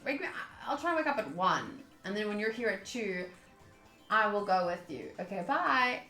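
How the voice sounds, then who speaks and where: A woman's voice: mid-range voice, very animated, moderate pace, sharp tone. A woman streaming a visual novel, reading the text aloud and adding casual asides to viewers. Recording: roomy echo, faint game music behind. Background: faint game music.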